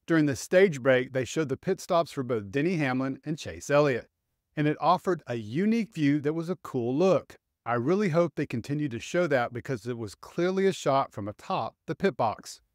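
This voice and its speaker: A man's voice: fairly high pitch, no echo. A middle-aged man presenting scripted motorsport opinion commentary into a close studio microphone. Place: small home studio room. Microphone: close studio microphone on stand.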